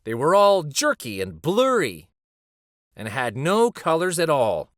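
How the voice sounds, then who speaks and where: Guy doing wistful old street storyteller from a picture book, highish voice, expressive, gentle. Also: measured pace, no room sound, studio quality, full character voice. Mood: nostalgic. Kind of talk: picture book read aloud.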